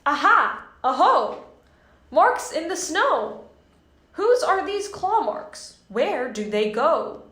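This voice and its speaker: A woman's voice: sits high, big pitch swings, takes it slowly, fairly bright tone, voice pushed up, exaggerated voice. A woman voicing a curious, brave young monster girl in a children's storybook read-aloud. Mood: excited. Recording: roomy echo.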